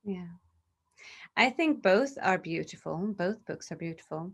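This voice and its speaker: A woman's voice: lowish voice, lively intonation, unhurried, vocal fry. A woman from the publishing side interviewing an author in casual chat, curious and encouraging, laughing along.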